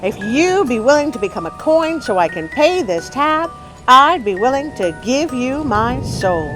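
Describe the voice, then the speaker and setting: Scheming, miserly male blacksmith of folklore, acted by a woman narrator, sly bargainer, lively inflection. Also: high voice, a little slow, over music, pitched above the performer's own voice. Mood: sly bargaining. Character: cunning stingy Irish blacksmith, scheming man in folktale.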